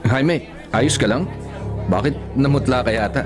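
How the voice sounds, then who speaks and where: A man's voice: medium pitch, measured pace, music in the background, a crowd in the background, lightly acted. Man acting self-assured young rival suitor. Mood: needling. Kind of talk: radio drama acting.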